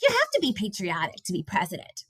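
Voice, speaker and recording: A woman's voice: medium pitch, huge pitch swings, quick pace, breathy edge, dry recording. A woman voicing a confident, self-important young schoolboy with big plans in an earnest picture-book reading. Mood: proud, earnest.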